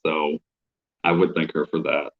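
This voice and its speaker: A man from Houston, speaking earnestly and haltingly as a show guest. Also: extremely low pitch, level tone, vocal fry. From Houston, Texas. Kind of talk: casual interview. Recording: muffled call audio.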